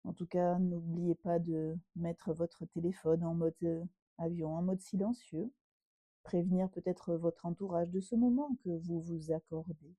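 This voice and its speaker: Woman, yoga teacher, very dark mellow tone, slow pace, gentle guided relaxation, pauses between instructions. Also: fairly low pitch, steady tone, no room sound.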